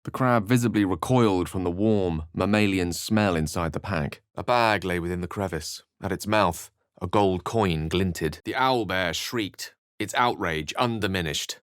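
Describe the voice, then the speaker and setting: A man's voice: low-pitched, a little slow, clear, resonant tone, no echo, studio quality. A man voicing a learned, eloquent wizard adventurer in a video game, with an English accent and a theatrical, articulate delivery. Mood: grave, storytelling. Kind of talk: dramatic scripted game dialogue and narration.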